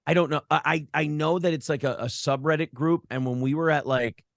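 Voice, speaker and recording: An American man with a fairly high voice talks bluntly and casually in a podcast interview. He is opinionated and swears freely, like a seasoned radio host speaking off the cuff. The sound is dry and close. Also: steady tone, a little fast, no room sound. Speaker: morning show host and former radio broadcaster.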